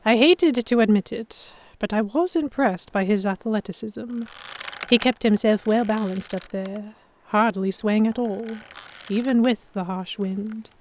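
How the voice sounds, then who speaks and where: A woman playing a proud, sharp-tongued historical heroine who narrates a pirate romance in the first person, wry and expressive. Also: medium pitch, a little slow, a little hiss or hum, natural voice. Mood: reflective narration. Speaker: narrator and lead voice actor.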